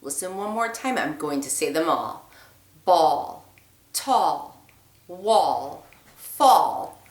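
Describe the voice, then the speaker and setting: Woman reading to young children, echoey room. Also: sits low. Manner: clear, deliberate, expressive phrasing with emphasis on rhymes. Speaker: teacher reading a picture book aloud. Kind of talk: read-aloud storytelling for young children.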